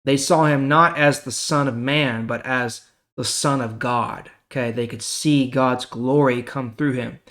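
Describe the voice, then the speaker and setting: A man's voice: mid-range voice, fairly even intonation, measured pace, fairly bright tone. A man reading the Bible and explaining it in a casual, conversational way as host.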